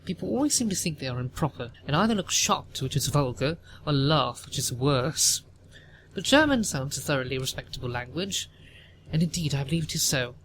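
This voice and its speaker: A woman's voice: low-pitched, expressive, fairly quick, poor audio. A performer acting a haughty, domineering older Victorian woman of the aristocracy in an audio play, pompous and commanding. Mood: disapproving. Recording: noticeable background noise.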